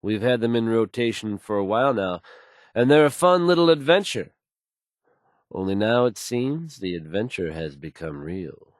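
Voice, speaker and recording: An actor playing a confident, smooth-talking radio DJ in a game, delivering fluent and assured announcements. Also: male voice, fairly low pitch, very animated, normal speed, no echo, clean studio sound. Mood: intrigued.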